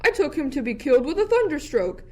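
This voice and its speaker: A woman's voice: high-pitched, sing-song, quick pace, dark tone. A woman playing a jittery, inquisitive shipwrecked jester in a theatrical Shakespearean radio play. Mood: relieved. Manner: theatrical, musing in archaic prose.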